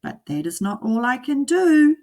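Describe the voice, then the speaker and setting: A woman voicing a playful, boastful male cat showman from a storybook, excited and coaxing. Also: high voice, normal speed, pitched above the performer's own voice. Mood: reassuring, showy.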